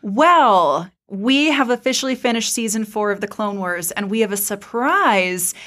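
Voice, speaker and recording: A woman's voice: mid-range voice, expressive, a little slow, fairly bright tone. A woman speaking conversationally as a podcast co-host, articulate and effusive.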